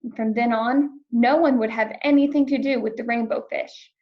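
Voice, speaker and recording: A woman reading a children's picture book aloud, narrating in a clear, expressive storytelling manner. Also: fairly high pitch, moderate pace, muffled recording. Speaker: storybook reader.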